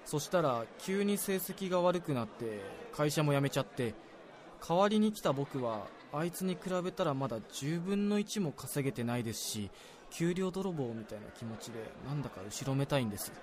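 Man playing an earnest, higher-voiced young office worker. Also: expressive, moderate pace, natural voice. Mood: guilty, downcast. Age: young adult. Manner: deferential, confiding, apologetic. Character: earnest, self-doubting young male junior salaryman. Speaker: actor in a radio drama. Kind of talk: Japanese radio drama dialogue.

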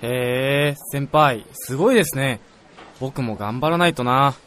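An actor playing an earnest, excitable and gullible young junior researcher in a radio drama. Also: male voice, medium pitch, measured pace, slight background noise, close to an everyday voice. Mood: admiring.

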